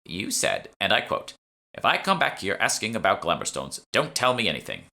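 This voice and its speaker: A small, elderly shopwoman acted by a man speaks with grudging, sardonic bite. The pitch is lifted and swings about, with a bright edge, as in an audiobook story. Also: very animated, fairly quick, creaky, heavily acted. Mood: wry, sardonic. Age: older. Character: petite elderly shopwoman, bored, prickly and wry. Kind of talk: audiobook character dialogue.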